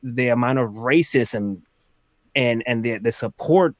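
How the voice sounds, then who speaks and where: A man appearing as a musician guest on a podcast, talking frankly in an animated, casual way and swearing freely. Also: mid-range voice, unhurried, dry recording.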